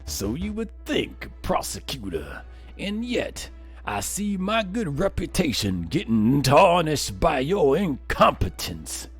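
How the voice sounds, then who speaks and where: A pompous, slow-talking aristocratic baron. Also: male voice, a little high, huge pitch swings, soft background music, exaggerated voice. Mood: haughty, smug. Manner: grandiose, drawn-out, theatrical. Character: pompous aristocratic older baron witness, smug and grand.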